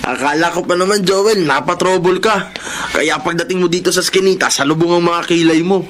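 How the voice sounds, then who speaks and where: A man's voice: high voice, fairly quick, a bit bright, slight background noise. A man playing a poor young street buddy in a Filipino radio drama, slangy and teasing.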